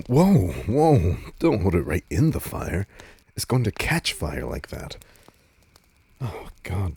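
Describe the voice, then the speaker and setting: A man playing a laid-back, teasing, protective vampire on a date in an intimate audio roleplay, speaking casually with a husky, breathy voice. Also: low-pitched, a little mellow, no room sound, heavily acted. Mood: teasing, fond.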